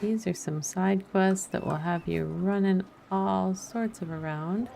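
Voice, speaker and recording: A woman doing casual let's play commentary as a streamer, low-key and half muttering to herself. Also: measured pace. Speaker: game streamer. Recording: music playing behind. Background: clear background music.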